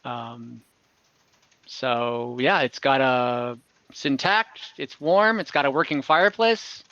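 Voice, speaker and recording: Bright-voiced man running a tabletop game. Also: mid-range voice, dry recording, highs cut off. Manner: conversational, halting, often rephrasing as he describes scenes. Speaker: game master. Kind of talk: improvised narration of an online tabletop game.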